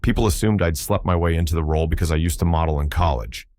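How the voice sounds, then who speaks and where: A man's voice: rumbling low, fast, a little mellow, no room sound, clean studio sound. A man narrating a storybook voices a poised, guarded female communications manager with a clipped, clinical way of asking. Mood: soft.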